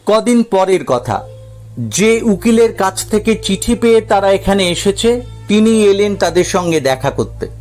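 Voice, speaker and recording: Slow, high-voiced male storyteller. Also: expressive, darkish tone, faint music behind. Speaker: narrator. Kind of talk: scripted dramatic story narration.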